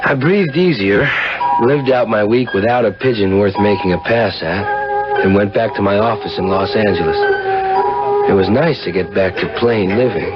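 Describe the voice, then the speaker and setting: Actor as a slangy radio drama playboy, music behind. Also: male voice, on the low side, moderate pace, lightly acted. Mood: wry, brooding. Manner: hip slangy, wry. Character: cynical, slangy womanizing male car dealer narrating his story. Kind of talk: 1970s radio drama acting with first-person narration. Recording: muffled old radio broadcast, music behind.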